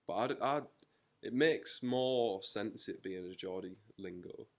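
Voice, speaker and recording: A man's voice: fairly low pitch, measured pace. A young man with a North East English accent, interviewing in a casual, slangy chat. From North East England.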